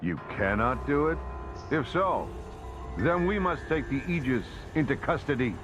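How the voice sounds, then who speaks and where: A stern religious official from a video game, acted by a man, gives formal orders with very varied intonation. The delivery is pompous and commanding. Music runs clearly underneath. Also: mid-range voice, expressive, a little slow, natural voice. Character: stern, haughty official serving a holy authority. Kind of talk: dramatic video game voice acting. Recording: game audio with music behind.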